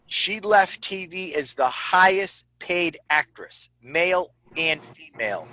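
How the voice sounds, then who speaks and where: A man's voice: sits high, expressive, slow pace, bright, forceful tone, no echo, low-quality recording. A man co-hosting a casual video call chat, speaking emphatically and with enthusiasm.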